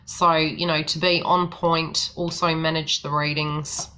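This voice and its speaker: Woman, New Zealand accent, low voice, bright tone, chatty tarot reader, faint hiss behind. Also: little pitch movement, unhurried. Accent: New Zealand, softened by Australian. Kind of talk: casual live-stream chat.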